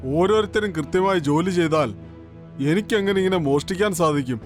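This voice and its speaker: A man's voice: high-pitched, brisk, close to an everyday voice. A man doing a brash boastful thief. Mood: cocky. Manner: boastful, coaxing. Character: cocky grown male thief, brash. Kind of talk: animated storybook dubbing. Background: background music.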